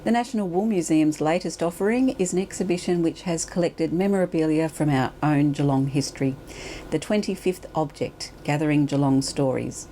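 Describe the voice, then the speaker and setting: A woman's voice: deep voice, brisk, warm tone, a little hiss or hum. A woman reading scripted local TV news as the presenter.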